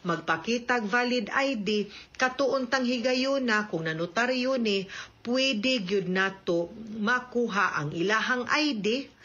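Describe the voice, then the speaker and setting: Cebuano lady lawyer explaining stuff on radio, sharp emphatic voice, bit lo-fi. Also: mid-range voice. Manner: emphatic, instructive, checking with tag questions. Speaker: lawyer giving legal advice on a radio programme. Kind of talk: conversational legal advice to listeners.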